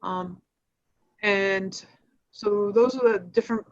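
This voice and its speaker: A woman answering questions as a nonprofit executive, explaining programs in a halting, matter-of-fact, informal way. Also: mid-range voice, steady tone. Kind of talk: informal spoken presentation on a video call. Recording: dull, lo-fi livestream audio.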